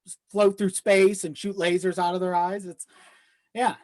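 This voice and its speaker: A man's voice: high voice, flat delivery, normal speed, a little mellow, dry recording. A man chatting as a guest on a podcast, recorded dry and close with little room sound. His talk is loose and conversational, with swearing and a self-deprecating streak. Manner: casual, profane. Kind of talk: casual chat.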